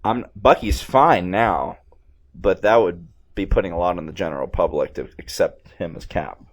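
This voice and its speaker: A man with a low-pitched voice hosts a casual movie reaction commentary. He talks informally and like a keen fan. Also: normal speed.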